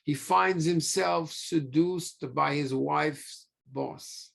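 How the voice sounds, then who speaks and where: Male rabbi for religious lecture: unhurried, gravelly edge, emphatic teaching delivery with pauses and rhetorical questions. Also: a little high, a bit bright. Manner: emphatic, pausing often, repeats phrases and asks rhetorical questions. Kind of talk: sermon, teaching through scripture stories.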